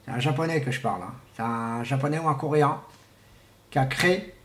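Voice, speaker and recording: A man giving an informal, rambling monologue to viewers, speaking haltingly and often repeating words and restarting. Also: medium pitch, fairly bright tone. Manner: often clears throat. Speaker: vlogger. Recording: echoey room with faint background noise.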